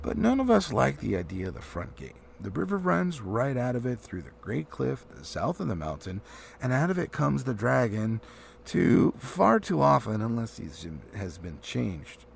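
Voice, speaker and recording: Man playing a proud, gravelly dwarf leader. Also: mid-range voice, big pitch swings, normal speed. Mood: doubtful, grave. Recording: faint background noise.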